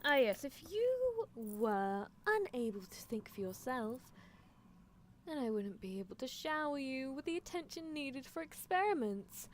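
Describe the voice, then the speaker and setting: A woman's voice: high-pitched, big pitch swings, unhurried, a little hiss or hum. A woman playing a playful, possessive young female mad scientist in an improvised ASMR roleplay, teasing her captive with clinical, mock-polite menace. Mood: coolly teasing.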